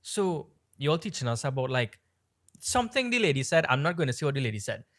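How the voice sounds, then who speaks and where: A man with a Trinidadian-tinged American accent tells a long story on a podcast. He rambles and stays animated, leaning on fillers as he goes, and his voice sits at an ordinary middle pitch. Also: a little fast. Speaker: podcast co-host. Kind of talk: casual storytelling chat.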